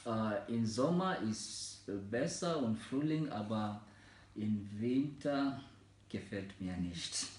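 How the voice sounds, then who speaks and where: Kenyan-born man, 20s, low-voiced language learner, very slow and hesitant, echoey room. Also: lively intonation, a little mellow. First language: Mijikenda and Swahili. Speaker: German learner in a practice interview. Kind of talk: slow, halting learner German with many fillers.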